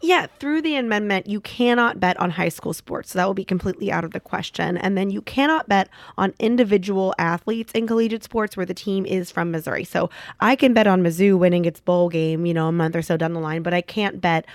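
Slightly gravelly woman reporter, dry sound. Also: lowish voice, brisk, hardly any pauses, no room sound. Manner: informative, with light laughs and fillers. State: hoarse from a sinus infection. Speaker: politics reporter. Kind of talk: radio interview answers.

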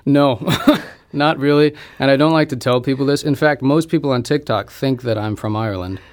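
A man's voice: mid-range voice, normal speed. A young American man talking casually as a guest in a radio interview. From Indianapolis, United States.

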